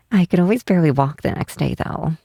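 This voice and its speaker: A woman voicing a tall, shy, wistful half-troll cleric in a soft, hesitant ASMR roleplay, close to the microphone. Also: lowish voice, expressive, no room sound. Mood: fond, amused. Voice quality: soft, breathy. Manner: hesitant, halting, tender. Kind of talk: ASMR roleplay, intimate softly spoken acting. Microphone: close microphone, dry.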